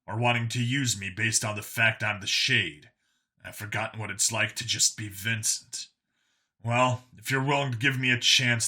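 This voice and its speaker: A man's voice: on the low side, sharp tone. A man voicing a weary, humbled vigilante seeking redemption in audiobook dialogue, candid and subdued.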